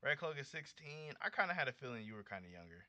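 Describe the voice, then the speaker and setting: A young American man in his late twenties talks casually as a livestream host. His voice is very bright and punchy, with a slangy, animated delivery. The audio is slightly dull and lo-fi. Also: medium pitch, fast, dry recording. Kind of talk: casual livestream chat with viewers.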